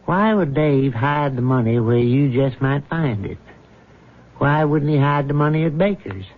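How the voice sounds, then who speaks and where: An actor playing a sly, conniving small-town crook in a radio drama, with slangy, insinuating talk. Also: male voice, medium pitch, animated, unhurried, narrow, tinny audio, lightly acted. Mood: scheming. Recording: thin band-limited old radio recording.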